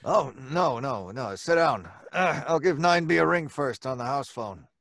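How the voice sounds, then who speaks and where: Radio play superintendent: wary, colloquial, obliging but blunt, bouncy intonation, close dry sound. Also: male voice, a little high, lively intonation, measured pace, a bit bright, no room sound, heavily acted. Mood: puzzled, obliging. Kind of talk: old-time radio suspense acting.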